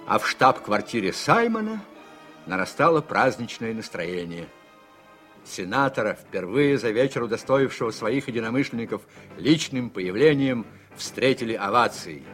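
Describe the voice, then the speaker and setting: A man narrating a political radio drama in a measured, literary storytelling manner, as an actor. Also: mid-range voice, lively intonation, a bit bright, faint music behind, natural voice.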